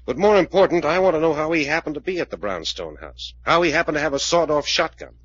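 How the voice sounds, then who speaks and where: Male lead for vintage radio mystery: driven investigator, insistent, rough-edged bright voice, dull old broadcast sound. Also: on the high side, lively intonation, quick pace, no echo. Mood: confiding, firm. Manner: urgent, insistent, commanding questioner. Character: intense, driven male investigator puzzling out a case. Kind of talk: 1940s radio detective drama acting.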